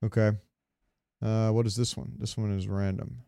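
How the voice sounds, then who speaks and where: Smooth-voiced man chatting on a podcast. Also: fairly low pitch, level tone, normal speed, mellow, no echo. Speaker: podcast co-host. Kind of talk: casual gaming podcast chat.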